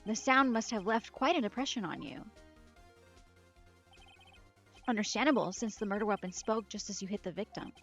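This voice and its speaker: A woman's voice: medium pitch, fast talker, a bit bright, lightly acted. A woman reading the lines of an earnest young male defense attorney with a determined, declaiming delivery. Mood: explaining. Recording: slightly muffled with game music.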